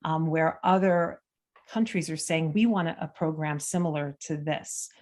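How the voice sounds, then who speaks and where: A woman's voice: low voice, normal speed, a little mellow. A middle-aged American woman, a guest in a conversational interview, talking freely with many fillers and restarts. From United States. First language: Armenian. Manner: chatty, frequent fillers and restarts.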